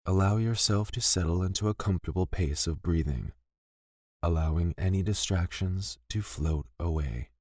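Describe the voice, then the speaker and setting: A man leading a scripted guided meditation in a gentle, soothing and deliberate manner, pausing between phrases. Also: low voice, no echo, clean studio sound.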